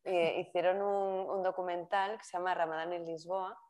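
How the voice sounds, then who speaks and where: A woman with a very low voice introduces the day's lecturer in a loose, conversational manner. She often stops to correct herself. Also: little pitch movement, normal speed, a little mellow. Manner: chatty, self-correcting, laughs at own slips. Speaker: course organizer introducing the speaker. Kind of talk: informal spoken introduction. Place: university lecture room.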